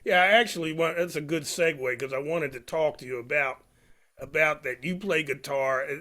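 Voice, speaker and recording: Male interviewer in his sixties, bright tone, hesitant questioning. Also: on the high side, moderate pace. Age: 60s. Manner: hesitant, searching for words.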